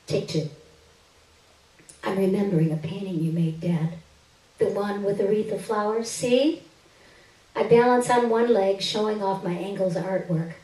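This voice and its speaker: A woman reads a literary story aloud on stage in an expressive, emphatic first-person narrating style. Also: mid-range voice, a little slow, pauses often. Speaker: story reader. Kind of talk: dramatic literary reading aloud. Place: live reading at a stage event, indoors. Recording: roomy echo, distant microphone.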